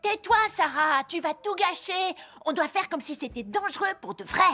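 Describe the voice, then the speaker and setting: Cartoon casting: daredevil little boy, very high, piercingly bright, bossy and dramatic. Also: expressive, normal speed, soft background music, full character voice. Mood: impatient. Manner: showy, bossy. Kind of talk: scripted children's cartoon dialogue.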